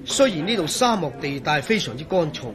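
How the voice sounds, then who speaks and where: A man acting as a genial, easygoing engineer in a radio drama, speaking in a chummy, expressive way with a Hong Kong Cantonese accent. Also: a little high, fairly quick, crowd noise. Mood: earnest. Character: genial, easygoing male engineer and old friend.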